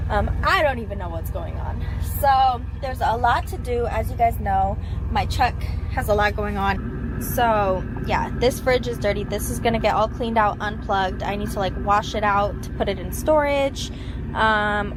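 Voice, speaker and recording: Sick, raspy-voiced woman vlogging. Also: fairly high pitch, roomy sound, background noise. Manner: chatty, rambling, says y'all. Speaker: truck driver vlogger. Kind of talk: casual vlog talking to camera. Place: in and around her truck.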